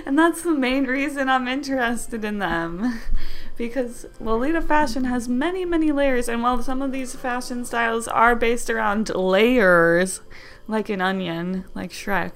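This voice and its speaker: A woman's voice: a little high, measured pace. A young woman with a Wisconsin accent, chatting casually and jokily as she explains fashion styles in a vlog. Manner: bubbly, jokey, self-deprecating asides. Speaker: fashion vlogger.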